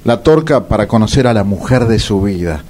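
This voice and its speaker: A man's voice: fairly low pitch, lively intonation, fairly quick, a little mellow. A man giving wry, playful scripted narration.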